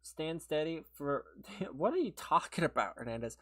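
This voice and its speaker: Sniffly higher-voiced man streaming a game. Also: sing-song, normal speed, fairly bright tone. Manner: chatty and rambling, laughs often, answers chat. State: sick, sniffly, voice a little worn. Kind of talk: casual livestream chat while gaming, replying to viewers.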